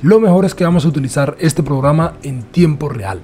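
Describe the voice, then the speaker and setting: A man narrating a casual software tutorial in a chatty, repetitive way. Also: a little high, expressive, brisk, faint music behind. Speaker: tutorial host.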